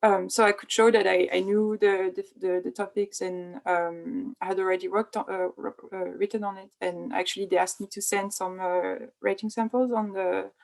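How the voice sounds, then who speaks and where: A young woman researcher speaking on an online panel, halting and full of fillers. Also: medium pitch, level tone, vocal fry. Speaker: think tank researcher.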